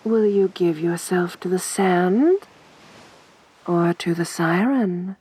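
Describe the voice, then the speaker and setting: Woman playing a slow, breathy, seductive siren. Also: lowish voice. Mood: toying, sly. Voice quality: soft, breathy. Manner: slow, seductive, toying, assertive. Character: beautiful sadistic siren, winged monster woman, teasing, possessive. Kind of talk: soft-voiced ASMR roleplay.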